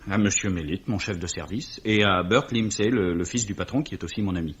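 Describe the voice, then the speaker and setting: An actor playing an anxious, determined young man, husky and earnest, in a 1950s French radio mystery. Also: sits low, fairly quick. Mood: uneasy. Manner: earnest, urgent. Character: anxious, determined young gentleman seeking justice. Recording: muffled vintage radio recording.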